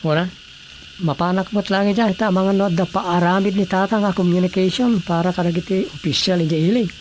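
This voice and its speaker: An actor with an Ilocano Filipino accent playing a married writer who muses aloud to himself in a radio drama. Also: male voice, high-pitched, steady tone, fairly quick, darkish tone, slight background noise, natural voice. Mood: casual. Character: married man, a writer musing on world troubles.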